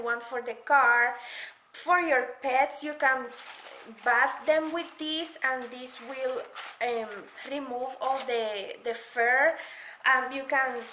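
A woman's voice: sits high, bright, forceful tone. A woman casually reviewing household gloves at home, chatting and demonstrating as she goes. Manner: chatty, demonstrating while explaining. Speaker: product reviewer. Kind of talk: casual product review demo. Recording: echoey room, faint noise.

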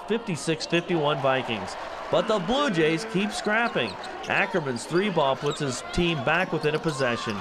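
Guy doing game recap narration, punchy and a bit high, noisy crowd behind him. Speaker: sports highlights narrator. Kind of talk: scripted game recap commentary. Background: crowd and basketball bounces.

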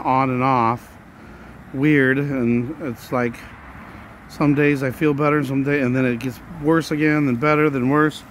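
Hoarse, breathless man vlogging on a phone mid-walk, mid pitch, faint outdoor hum behind. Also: fairly bright tone. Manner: rambling, plain-spoken, coughing and sighing. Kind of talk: casual walking vlog. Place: residential street outdoors. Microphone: handheld phone while walking.

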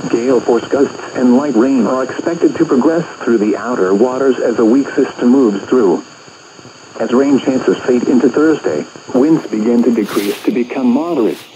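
Synthetic male weather radio announcer, muffled and noisy. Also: mid-range voice. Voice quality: synthetic text-to-speech. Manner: measured, impersonal, segmented phrasing. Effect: weather radio broadcast. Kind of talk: scripted weather bulletin reading.

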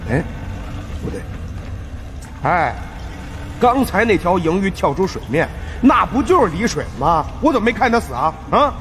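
High-pitched, brash, grumbling fat guy. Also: sing-song, moderate pace, pauses often, fairly bright tone, a little hiss or hum. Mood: sarcastic grumbling. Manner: brash, sarcastic, mouthy. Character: brash, grumbling fat young man, quick to bicker. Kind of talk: audiobook character dialogue.

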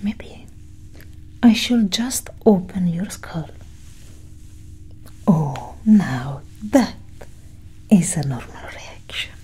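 ASMR roleplay alien scientist, played by a woman: female, low, dark whisper, calm, condescending, soothing. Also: exaggerated voice. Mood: coolly reassuring. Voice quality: whispery, breathy. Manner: slow, hushed, reassuring. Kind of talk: soft-spoken ASMR roleplay. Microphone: close ASMR microphone.

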